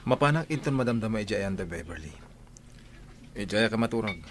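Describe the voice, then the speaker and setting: A man's voice: fairly low pitch, lively intonation, moderate pace. A man with an Ilocano accent acting a troubled, suspicious drinker in a radio drama. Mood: drunk, weary. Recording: radio drama with clear vehicle noise.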